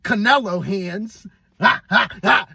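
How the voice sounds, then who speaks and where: A man's voice: high-pitched, slow, creaky, pushed and bright, breathy edge, no room sound, studio quality. A young adult man casually giving blunt, profane, rambling fight predictions as a podcast host.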